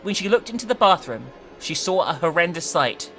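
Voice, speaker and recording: A woman narrator gives a dramatic reading with casual commentary. Her voice is bright and forceful with very animated pitch, and faint music plays behind her. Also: a little fast, poor audio.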